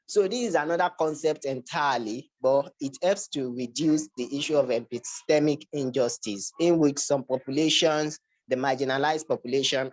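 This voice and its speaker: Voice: mid-range voice, poor audio. A young adult from Nigeria with a Nigerian accent, giving an academic slide presentation and often repeating words and restarting phrases. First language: Yoruba. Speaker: research presenter.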